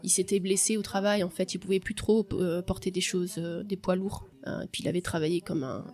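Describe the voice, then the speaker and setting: A young woman from France giving a reflective, hesitant personal testimony on a podcast, with many pauses and restarts. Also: on the low side, steady tone. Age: young adult. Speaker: podcast guest.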